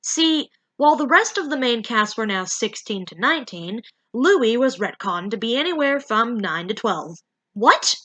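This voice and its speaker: A woman narrating a story in a theatrical, playful, expressive way. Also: a little high, normal speed. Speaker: fan fiction reader and narrator.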